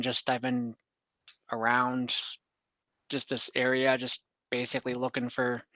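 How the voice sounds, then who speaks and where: A man's voice: medium pitch, bright, forceful tone, dry recording, dull audio. A man playing a captive female bandit who is blunt, pragmatic and offhand, with wry irritation, in a tabletop game. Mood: guarded, offhand. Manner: matter-of-fact, offhand.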